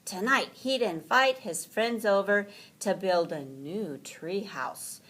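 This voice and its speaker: A woman reading a children's story aloud in an animated, deliberate storytelling manner. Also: mid-range voice.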